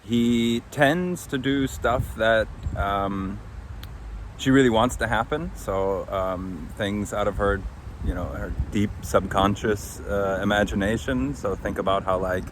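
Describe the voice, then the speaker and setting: Very deep, halting male podcast host. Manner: halting, searching for words. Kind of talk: casual unscripted recap. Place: outdoors under a tree. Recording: faint outdoor traffic-like noise. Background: faint outdoor urban ambience.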